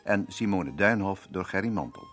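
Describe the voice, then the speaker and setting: Guy announcer, lowish voice, reading radio credits, faint harmonica music behind. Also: expressive, moderate pace, a bit bright.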